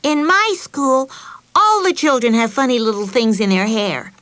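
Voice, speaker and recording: A woman's voice: unhurried, fairly bright tone, no room sound. A woman voicing Betsy, a stubborn little girl who cries easily, in a storybook reading. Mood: insistent. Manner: high, insistent, sobbing at times.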